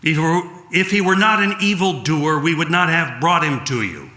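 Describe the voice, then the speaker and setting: A man acts out an angry priestly mob in a biblical drama, baying for blood. The cries are loud and insistent, pitched up, forceful and echoing in the room. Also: animated, moderate pace. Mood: insolent. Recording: echoey room sound.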